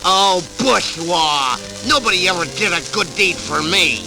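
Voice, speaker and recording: Casting: blustery scheming cartoon tomcat, slobbery lisp, high and swooping, on a vintage record. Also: male voice, expressive, unhurried, creaky, full character voice, poor audio. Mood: sly, doubtful. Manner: blustery, exclamatory. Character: lisping, grumpy, scheming cartoon cat. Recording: vintage 78rpm record with music.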